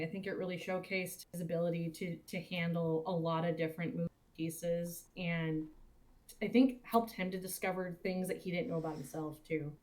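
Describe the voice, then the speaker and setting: A woman in a reflective, casual panel conversation, speaking in halting, thoughtful phrases. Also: lowish voice, a little mellow. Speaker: cast or crew member on a panel.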